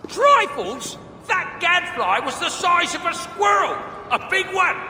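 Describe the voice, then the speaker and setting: An actor playing an excitable, grumbling dwarf craftsman in a video game, with a blustering, colloquial manner. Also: very high voice, unhurried, exaggerated voice. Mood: indignant. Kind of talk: video game cutscene acting. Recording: reverberant hall, faint background noise.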